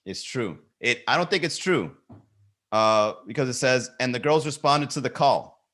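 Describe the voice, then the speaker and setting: Male comedy podcast host, bright forceful voice, animated riffing, some swearing. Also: medium pitch, normal speed. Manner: animated, swearing, rhetorical questions. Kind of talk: comedic riffing and lyric reading.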